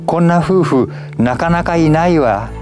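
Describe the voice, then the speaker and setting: An elderly man voicing a weary, tender older wife in a story reading, gentle, feeble and heartfelt. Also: on the high side, a little slow, soft background music, pitched above the performer's own voice, natural voice. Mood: moved, joyful.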